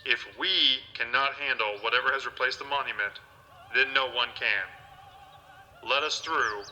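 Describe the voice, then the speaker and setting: A man's voice: mid-range voice, steady tone, measured pace, bright, forceful tone, a little hiss or hum, poor audio. A man acting as an earnest, resolute field team leader in an audio drama, with clipped, declarative speech. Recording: music playing behind.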